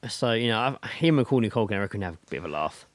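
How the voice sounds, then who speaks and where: Lively low-voiced British man. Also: racing, no room sound. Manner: sweary, matey. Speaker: podcast co-host. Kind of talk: casual banter between friends.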